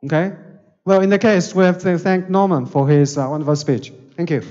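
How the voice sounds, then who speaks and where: A man hosting an online forum, introducing the next speaker in a halting way with many fillers. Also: sits high, fairly even intonation, darkish tone, muffled recording. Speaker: forum host.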